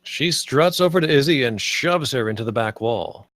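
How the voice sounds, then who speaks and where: A man's voice: medium pitch, expressive, moderate pace, a bit bright, no echo. A man reading stage directions aloud as scripted screenplay narration.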